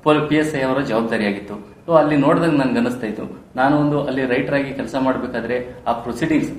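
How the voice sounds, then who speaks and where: A man's voice: mid-range voice, fast talker. A man in his thirties with a Karnataka Kannada accent, telling his own story as an interview guest in earnest, formal phrasing mixed with English terms. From Karnataka, India. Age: 30s. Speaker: interview guest, police sub-inspector probationer. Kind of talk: podcast interview, personal storytelling. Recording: roomy echo, faint background noise.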